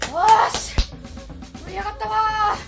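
A woman's voice: very high voice, steady tone, unhurried, creaky, loud music behind the voice, full character voice. A woman playing a brash, mischievous middle-aged woman with an Osaka accent in a radio comedy drama. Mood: rousing, playful. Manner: boisterous, teasing, pushy.

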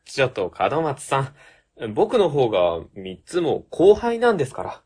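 A man playing an earnest, polite young rookie civil servant in a comedic radio drama, quick to react. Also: mid-range voice, huge pitch swings, darkish tone, husky, heavily acted. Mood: friendly. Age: young adult.

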